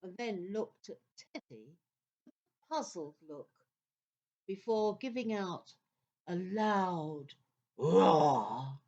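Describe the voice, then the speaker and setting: A woman's voice: lowish voice, animated, slow, long pauses, a little mellow, highs cut off. A woman narrating a children's story in a theatrical, suspenseful style.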